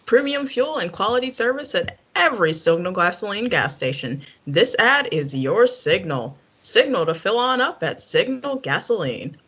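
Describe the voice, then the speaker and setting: Insistent radio actress, voiced by a woman, husky and swooping, emphatic, muffled lo-fi sound. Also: mid-range voice, sing-song, moderate pace, hardly any pauses, fairly bright tone. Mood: bright ad pitch. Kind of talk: radio-play acting. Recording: dull lo-fi recording.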